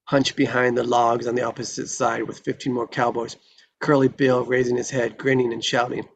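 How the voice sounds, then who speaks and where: A man's voice: mid-range voice, flat delivery, moderate pace, creaky. A man reading screenplay stage directions in a dramatic narrating style at a table read.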